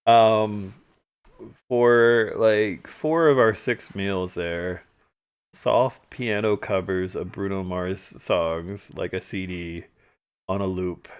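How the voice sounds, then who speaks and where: A man co-hosting a livestream, chatting casually through stories and photos from a trip, animated when he retells moments. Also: sits low, slow, clear, resonant tone. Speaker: livestream co-host. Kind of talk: casual storytelling chat over travel photos.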